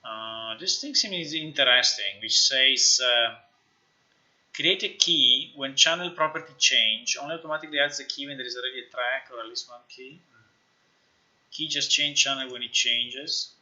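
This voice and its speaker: A man with a very sharp, forceful voice talks through software on a live stream. He is informal and halting, working things out aloud. The audio is slightly dull. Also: mid-range voice. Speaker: live streamer, game developer. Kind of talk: casual live-stream chat while demonstrating software.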